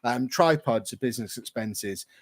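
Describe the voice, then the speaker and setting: A man chats his way through a livestream Q&A. He rambles, reads viewer questions aloud and answers them at his own pace. His voice sits at an everyday middle pitch. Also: lively intonation, normal speed. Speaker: YouTuber hosting a livestream Q&A. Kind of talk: casual livestream chat.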